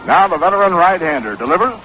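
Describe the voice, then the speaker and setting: A man calling baseball play-by-play on 1960s radio, measured and descriptive, on an old broadcast recording. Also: high-pitched, sing-song, sharp tone. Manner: measured, descriptive calls that quicken on action. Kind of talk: 1960s live radio sports commentary. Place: outdoor ballpark broadcast position. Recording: noisy, dull vintage 1960s radio broadcast.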